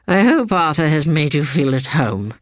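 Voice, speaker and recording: Man playing an arch, high-pitched elderly British aunt. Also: sing-song, moderate pace, dry recording, clean studio sound. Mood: arch, needling. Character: disquieting old aunt, mock-hospitable and needling.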